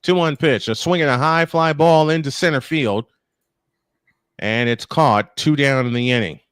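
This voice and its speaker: A man's voice: fairly high pitch, moderate pace, fairly bright tone, clear, resonant tone, no echo, studio quality. A man calling a baseball game live as play-by-play host, slipping between commentary and casual chat.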